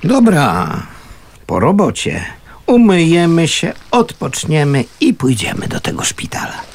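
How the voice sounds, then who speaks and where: Creaky-voiced, kindly, slow-talking scavenger. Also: male voice, a little high, huge pitch swings, a little hiss or hum, full character voice. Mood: gentle, resigned. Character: kindly, poor male scavenger living in a shack. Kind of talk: Polish radio drama acting.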